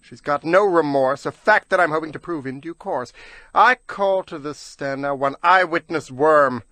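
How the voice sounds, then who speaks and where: A man playing a smooth-talking tomcat prosecutor, slick and formal, in a comic rhymed radio play. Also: on the high side, moderate pace, pushed and bright, no echo. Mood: accusing, confident.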